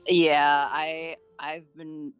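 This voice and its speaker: A woman's voice: low voice, level tone, very slow, smooth, no echo, faint music behind. A woman chatting casually and playfully while gaming, with plenty of swearing. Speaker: gamer friend pulling alongside.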